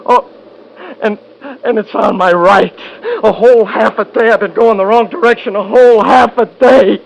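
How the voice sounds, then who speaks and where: An actor playing a terrified, stubborn cat hunter with a stammering, frantic delivery in a 1950s radio drama. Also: male voice, high-pitched, steady tone, moderate pace, gravelly. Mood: desperate. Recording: noisy vintage 1950s radio recording.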